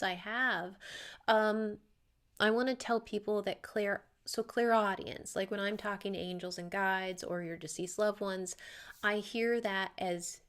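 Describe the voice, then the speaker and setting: Woman medium chatting thru viewer questions, loose and rambly, lots of ums, medium pitch. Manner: chatty, loose, with many fillers and trailing asides. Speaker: psychic medium. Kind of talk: casual viewer Q&A vlog.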